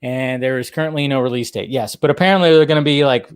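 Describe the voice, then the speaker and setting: A man's voice: mid-range voice, quick pace, clean tone, no room sound. A man hosting a live stream, chatting casually and loosely to his viewers and often repeating his phrases. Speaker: host of a live pop-culture talk stream. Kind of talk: casual rambling livestream chat.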